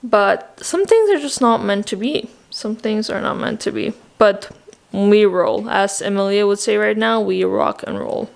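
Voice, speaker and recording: A woman's voice: mid-range voice, a little slow, vocal fry. A woman in her twenties from Azerbaijan, whose first language is Russian, talking casually and reflectively in a vlog with many "like" fillers. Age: 20s. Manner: chatty, frequent "like" fillers, reflective. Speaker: vlogger.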